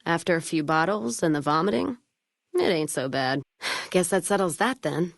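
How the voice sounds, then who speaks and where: Low female voice, American, blunt and salty, hard-drinking world-weary wasteland caravaner, video game dialogue, dry close recording. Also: lively intonation, moderate pace, creaky, no echo, full character voice. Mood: wry, casual. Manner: blunt, salty, drawling folksy speech.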